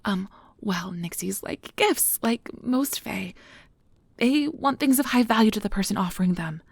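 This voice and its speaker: A woman playing a nervous, earnest, principled field researcher of magical creatures in an ASMR audio drama, soft and hesitant with stammers, close to the mic. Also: medium pitch, lively intonation, measured pace, no room sound, heavily acted. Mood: sheepish, explaining. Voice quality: breathy, soft. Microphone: close mic, dry recording.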